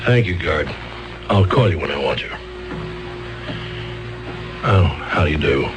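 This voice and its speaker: An actor playing a fumbling, wordy court-appointed lawyer who hesitates, stammers and clears his throat, in a 1970s radio drama. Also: extremely low pitch, animated, a little slow, loud music behind the voice, low-quality recording.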